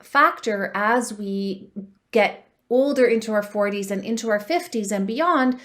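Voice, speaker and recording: A woman's voice: medium pitch, fairly bright tone. Upbeat woman in her forties giving advice. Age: 40s. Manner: earnest, upbeat, with frequent ums. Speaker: dating coach. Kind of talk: solo advice talk to camera.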